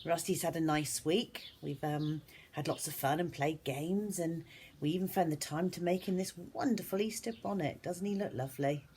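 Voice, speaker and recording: A woman's voice: low-pitched, moderate pace, a little mellow, slight background noise. A woman reading aloud as a teacher at children's storytime, speaking expressively to young listeners. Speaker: schoolteacher reading stories to a class.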